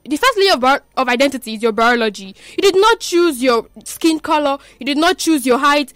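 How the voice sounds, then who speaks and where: High-pitched young Nigerian woman debating. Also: a bit bright, no room sound. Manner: emphatic, stumbles and repeats phrases. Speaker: student debater, chief speaker for opposition. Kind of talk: rehearsed debate speech.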